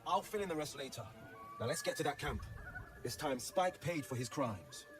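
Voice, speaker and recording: A hot-tempered, vengeful adventurer played by a man in a fantasy game. He is gruff and emphatic, slightly high for a man, with music clearly behind him. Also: lively intonation, moderate pace, natural voice. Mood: angry, determined. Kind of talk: video game character acting.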